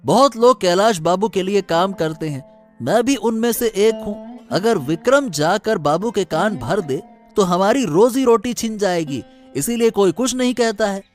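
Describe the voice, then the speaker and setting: A man playing a cautious village labourer who explains and advises emphatically in a Hindi animated moral story. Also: high voice, a little slow, faint music behind. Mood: cautious, advising.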